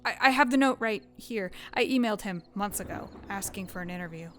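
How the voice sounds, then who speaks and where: A woman's voice: mid-range voice, a little fast, fairly bright tone, close to an everyday voice. A woman playing a determined, polite but persistent newspaper journalist in a screenplay reading, with music behind her. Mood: indignant, insistent. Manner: earnest, insistent, pushes back. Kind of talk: screenplay table-read acting.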